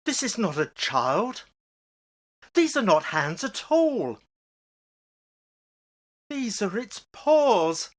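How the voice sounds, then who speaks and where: An actor as a fearful older man in a horror radio play. He speaks slowly and haltingly, with pitch that swings dramatically. He sounds agitated and pleading. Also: exaggerated voice. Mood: horrified.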